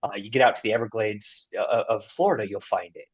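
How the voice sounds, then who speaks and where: Low-voiced man, muffled lo-fi audio. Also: quick pace, slightly rough. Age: 40s. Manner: emphatic and opinionated, with frequent "you know". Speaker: guest, army veteran. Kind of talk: casual interview conversation.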